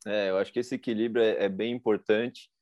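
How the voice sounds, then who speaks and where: A man's voice: fairly low pitch, steady tone, fairly quick, darkish tone, no room sound, muffled recording. A Brazilian man hosting a podcast, chatty and slangy, asking his guest questions with enthusiasm. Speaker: podcast host. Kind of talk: casual interview chat.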